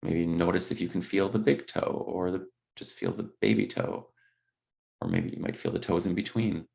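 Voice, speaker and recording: A man leading a guided body scan meditation, speaking gently in unscripted, hesitant phrases. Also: low-pitched, wildly swooping pitch, moderate pace, gravelly.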